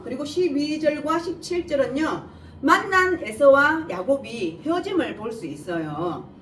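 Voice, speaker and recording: Korean lady preacher w regional dialect, slight lisp, really animated delivery, echoey room. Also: a little high. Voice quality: slightly imprecise, lisping articulation. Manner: asks listeners rhetorical questions and answers them. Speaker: preacher giving a Bible message. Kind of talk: sermon, explaining scripture verse by verse. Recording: echoey room, distant mic, faint noise.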